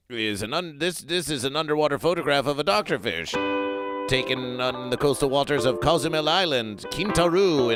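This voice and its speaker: A man improvising a comedy sketch as a self-assured male fish doctor, talking in halting runs full of fillers and restarts. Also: medium pitch, natural voice. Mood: lecturing. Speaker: comedy performer.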